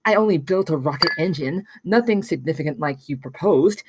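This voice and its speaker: A woman's voice: low voice, lively intonation, brisk, noisy background. A woman playing a plainspoken, brilliant thirteen-year-old girl in a script table read. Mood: matter-of-fact.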